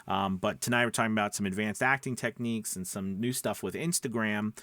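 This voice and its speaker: A man's voice: on the low side, fairly quick, a bit bright, no echo. A man who coaches voice-over talking casually and emphatically to his viewers, often checking that they follow him. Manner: conversational, emphatic, often checking understanding with rhetorical questions. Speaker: voice-over coach and channel host. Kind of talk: casual advice talk to camera.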